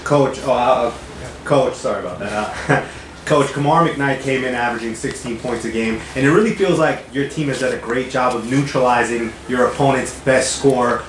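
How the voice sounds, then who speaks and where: A man's voice: medium pitch, normal speed. A man reporter asking a coach questions at a postgame press conference, polite and a little fumbling at the start. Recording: echoey room, faint noise.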